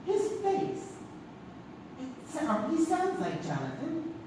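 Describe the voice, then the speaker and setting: An actress playing a mild, fretful elderly maiden aunt in a stage comedy. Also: female voice, high-pitched, huge pitch swings, slow pace, exaggerated voice. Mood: alarmed. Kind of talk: theatrical stage comedy acting. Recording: very echoey noisy theater, distant.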